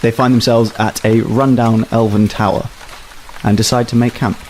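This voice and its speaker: A man's voice: mid-range voice, level tone, moderate pace, a little mellow, slight background noise, poor audio. A man running a tabletop game as game master, describing scenes in a plain, slightly hesitant way. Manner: descriptive, lightly hesitant narration.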